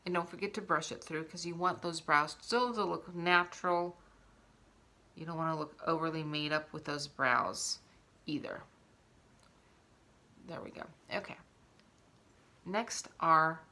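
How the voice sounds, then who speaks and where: A woman's voice: low-pitched, measured pace, pauses often, sharp tone. A woman hosting a casual makeup tutorial, explaining each step as she demonstrates it. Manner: instructional, talking while demonstrating.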